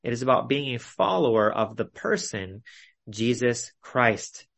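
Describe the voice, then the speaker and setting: A male preacher teaches in an expository sermon style. His voice sits at an average pitch for a man. He sets out his points deliberately, in short phrases with clear emphasis on key words. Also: lively intonation, fairly bright tone. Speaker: preacher leading a Bible study. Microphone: video call.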